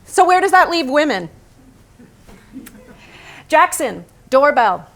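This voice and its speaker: Bitter wife for a staged play, female actor, higher-pitched, sardonic, biting, possessive. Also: big pitch swings, slow pace, a bit bright, heavily acted. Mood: tense, sardonic. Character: Lee, embittered painter's wife, sharp-tongued, possessive, exasperated. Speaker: stage actress. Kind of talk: theatrical staged reading, narration mixed with dialogue.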